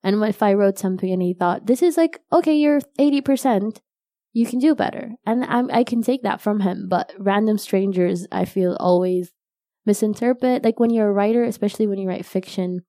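A young woman whose first language is Arabic, chatting casually as a guest in an interview, self-deprecating and quick to laugh. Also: medium pitch, mellow, no room sound, clean studio sound. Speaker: novelist guest.

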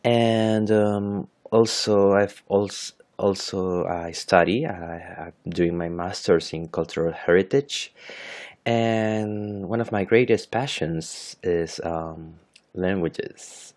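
Hesitant Chilean man, very low voice. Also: slow, darkish tone. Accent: Chilean Spanish. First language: Spanish. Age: 30s. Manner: hesitant, many fillers and self-corrections. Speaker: podcast host. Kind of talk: unscripted solo podcast.

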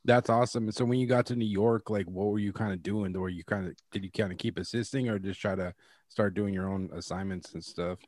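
A lower-pitched man hosts an interview in an easygoing way, with lots of fillers in his questions. The recording is dry and close, with no room sound. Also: fairly even intonation, fairly quick, a little mellow, dry recording. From Boston. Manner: laid-back, filler-heavy questions. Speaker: podcast host. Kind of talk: casual interview chat.

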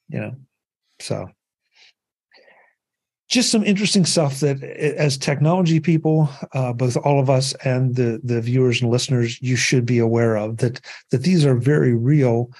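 Husky-voiced man hosting a panel. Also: mid-range voice, a little mellow. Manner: rambling, conversational, many fillers. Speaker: podcast host. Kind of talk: casual panel discussion.

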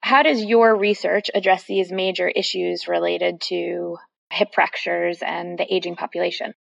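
A woman hosting a podcast, reading a scripted intro and asking measured, polite questions. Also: fairly low pitch, steady tone, creaky, no echo, highs cut off. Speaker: podcast host.

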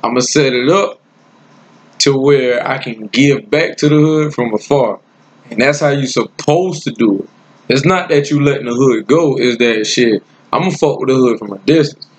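A man's voice: mid-range voice, moderate pace, breathy edge, poor audio. A man from South Carolina, talking bluntly and profanely in a casual podcast chat. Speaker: podcast co-host.

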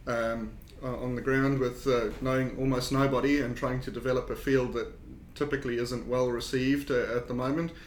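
A man's voice: little pitch movement, moderate pace, few pauses. A man with a South African accent, a consulting engineer talking as a podcast guest in a casual, hesitant way with many fillers and restarts. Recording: echoey room with faint rumble.

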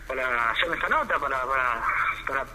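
A man from Buenos Aires, a guest on the phone, talking in a halting, repetitive way with many restarts. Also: mid-range voice, expressive, noisy background. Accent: Buenos Aires Spanish. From Argentina. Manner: halting, repeats words, grateful. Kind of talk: casual phone interview.

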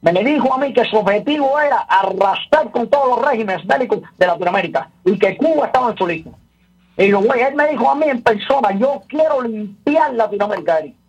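A man's voice: extremely high pitch, lively intonation, brisk, husky, highs cut off. A man calling in to a radio show as a political commentator, repeating words and restarting his sentences.

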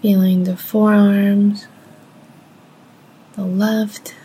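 A woman guides a meditation at a very unhurried pace. Her voice is soft, mellow and a little breathy. She speaks gently, in short measured phrases separated by long pauses. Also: medium pitch, flat delivery.

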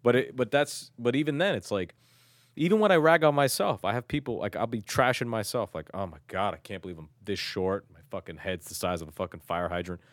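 A man's voice: fairly low pitch, animated, brisk, no echo, studio quality. A man from Turkey talking in loose casual chat, rambling and self-mocking, with lots of swearing and restarts.

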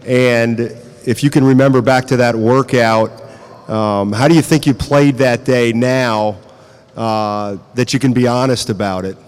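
A man's voice: mid-range voice, fairly even intonation, unhurried, clean tone. A male sports reporter asking rambling, hesitant questions on a press conference call.

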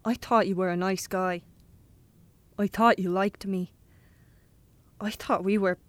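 A woman's voice: medium pitch, steady tone, unhurried, no echo, lightly acted. A woman playing a lonely, manipulative teenage boy, insistent and pushy, in naturalistic audio drama. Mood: hurt, threatening.

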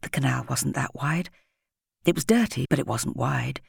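Female children's audiobook narrator. Breathy, husky texture, dramatic storytelling delivery, dry studio-like sound. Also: a bass voice, normal speed, no room sound. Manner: dramatic, suspenseful storytelling with varied emphasis.

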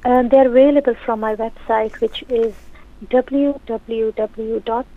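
A woman whose first language is Urdu, a counsellor and author speaking as a radio guest, reflective and halting. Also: fairly high pitch, fairly even intonation. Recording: tinny phone line.

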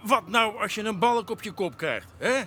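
A man's voice: high voice, expressive, moderate pace, pushed and bright, slight background noise. A man playing a strict, scolding fire station commander in a children's radio play. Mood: angry, scolding. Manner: stern, scolding. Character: angry, strict, rule-bound male fire station commander.

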